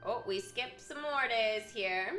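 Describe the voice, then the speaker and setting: A woman game streamer with a very bright, forward voice, recorded in a roomy, echoey space with music behind her. She mixes reading story text aloud with live commentary. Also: fairly high pitch, slow. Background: video game music.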